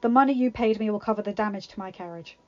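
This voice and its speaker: A woman voicing a scornful male asylum keeper who snorts with contempt, over soft music. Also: mid-range voice, quick pace, natural voice. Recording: dull lo-fi sound with music.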